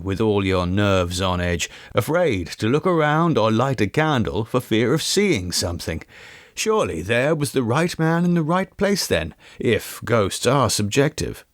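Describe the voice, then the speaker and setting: A man voicing a big, sceptical gentleman who talks in an assertive, articulate, explanatory way in an audiobook ghost story. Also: mid-range voice, no room sound, clean studio sound, close to an everyday voice.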